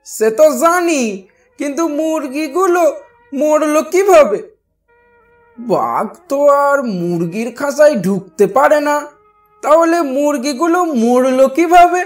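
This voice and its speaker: A woman's voice: high voice, unhurried, faint music behind. A woman voicing a worried but firm village parent in a Bengali cartoon, questioning and admonishing. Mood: puzzled.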